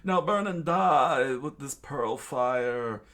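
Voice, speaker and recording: A man voicing a boastful, menacing pirate fighter with a slow taunting delivery. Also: mid-range voice, animated, voice pushed up.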